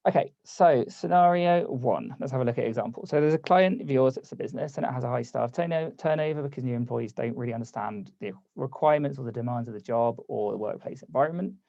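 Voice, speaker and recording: A man's voice: medium pitch, quick pace, vocal fry, few pauses, warm tone, no room sound, dull audio. A man hosting a live online workshop over a video call, casual and conversational, losing his voice. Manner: casual, conversational, reads chat aloud. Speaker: workshop host.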